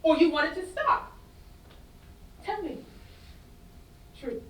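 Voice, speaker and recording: A woman's voice: very high-pitched, very animated, takes it slowly, full character voice. Woman acting a worldly, hard-bitten dame, echoey stage. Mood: urging, blunt. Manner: knowing, blunt, Southern-flavored vernacular. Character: worldly, hard-bitten, cynical Creole woman advising younger gal. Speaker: student actor in a staged reading. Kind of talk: dramatic staged reading of a stage play. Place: indoor festival staged reading. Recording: echoey room, distant mic, faint noise.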